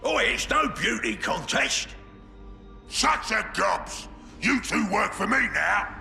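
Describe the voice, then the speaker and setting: An actor playing a cunning, brash ork warboss who shouts and schemes in a gravelly voice. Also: male voice, sits high, sing-song, takes it slowly, reverberant, faint music behind, exaggerated voice. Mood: bellowing.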